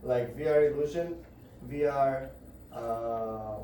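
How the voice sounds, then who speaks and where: A man giving a devotional scripture lecture to a group indoors, halting and searching for words as he explains. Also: mid-range voice, warm tone, a little hiss or hum. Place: indoor gathering room. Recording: very echoey room, voice far off.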